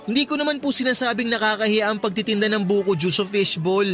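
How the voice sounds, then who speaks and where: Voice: medium pitch, steady tone, fast talker, a bit bright, soft background music, natural voice. An actor playing a resentful, whining young son who talks back to his mother in a Tagalog radio drama. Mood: whining, defiant. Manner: complaining, talks back, sulky.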